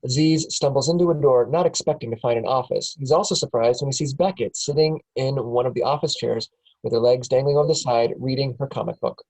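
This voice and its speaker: Brief: man reading action lines at a table read, husky voice, mid pitch. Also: fairly quick, darkish tone, poor audio. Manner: plain reading, small chuckles and restarts. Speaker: narrator reading stage directions. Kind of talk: script table read narration.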